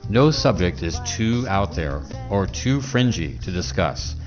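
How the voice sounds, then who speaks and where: Male podcast host, lower register, conversational delivery, slightly lo-fi sound. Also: very animated, unhurried, music in the background. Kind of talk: podcast introduction and conversational interview.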